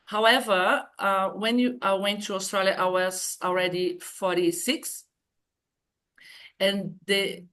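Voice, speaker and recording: A woman's voice: mid-range voice, a bit bright. Brazilian woman in her fifties. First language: Portuguese. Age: 50s. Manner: hesitant, explains step by step. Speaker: guest. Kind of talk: casual online interview.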